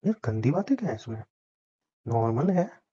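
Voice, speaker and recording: A man's voice: medium pitch, big pitch swings, normal speed, warm tone, slightly rough. Young man teasing on a dull phone line. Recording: dull lo-fi phone call recording.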